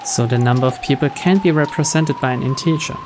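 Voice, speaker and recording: A man's voice: mid-range voice, fairly quick, over music. A man reading a game's text aloud as dramatic scripted narration. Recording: steady rain noise behind. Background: rain.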